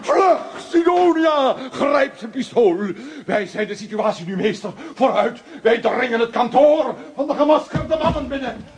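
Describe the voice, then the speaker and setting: A man's voice: very high-pitched, lively intonation, measured pace, hardly any pauses, full character voice. An actor playing a boastful, blustering comic middle-aged man in a children's radio play. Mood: heroic. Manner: pompous, theatrical, exclaiming.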